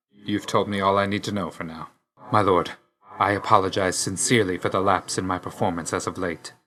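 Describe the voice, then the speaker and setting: A man playing a grim, aloof god of death in a video game, terse and deadpan, stern yet grudgingly loyal. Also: low voice. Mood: formal, deferential. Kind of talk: scripted game character lines.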